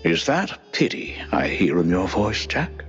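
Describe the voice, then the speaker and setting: An actor playing a nervous cartoon mouse in a video game, an anxious friend with a hesitant, stuttering delivery. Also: male voice, low voice, huge pitch swings, measured pace, fairly bright tone, muffled recording, soft background music, full character voice. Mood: uneasy.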